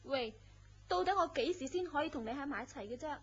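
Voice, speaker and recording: A woman's voice: sits high, expressive, fast. An actress playing a pert young woman in a Hong Kong Cantonese radio drama. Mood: pouting. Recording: muffled lo-fi audio with faint noise.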